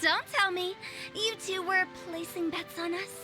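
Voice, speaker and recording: A woman's voice: extremely high pitch, steady tone, unhurried, over music. A woman playing a playful, sly young female funeral director in a video game, teasing and chirpy. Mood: playful, probing. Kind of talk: English video game voice acting.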